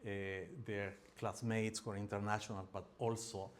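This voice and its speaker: A man with a Peruvian accent presents at an academic seminar, his voice sitting a little low. He speaks in a halting way, filling pauses often and restarting his phrases as he explains. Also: little pitch movement. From Peru. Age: middle-aged. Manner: hesitant, many fillers and restarts.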